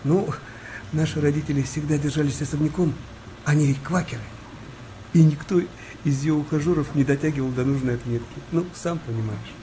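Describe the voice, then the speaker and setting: An actor playing a kind, weary wartime sailor nearing fifty in a radio play, speaking gently and reflectively with a wry touch. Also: male voice, a little high, fairly quick, slight background noise, lightly acted, poor audio. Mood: gentle, wry. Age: middle-aged. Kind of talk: naturalistic radio play dialogue.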